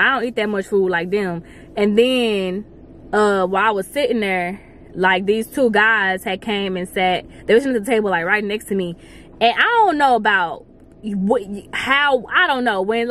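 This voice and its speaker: A woman's voice: mid-range voice, a little hiss or hum. A woman, chatting and telling a story in a parked car, talking to a dashboard camera. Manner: animated storytelling, talks with her hands. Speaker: vlogger. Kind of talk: casual car chat.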